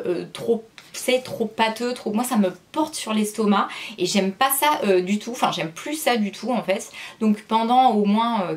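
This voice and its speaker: A woman in her thirties chatting casually through a product review, full of hesitations and restarts. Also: mid-range voice. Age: 30s. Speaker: vlogger.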